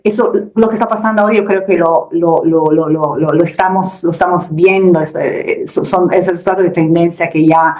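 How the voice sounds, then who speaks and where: Italian-accented woman, low voice. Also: darkish tone, poor audio. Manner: thoughtful, with frequent restarts when searching for words. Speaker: guest expert, researcher. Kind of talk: interview answers.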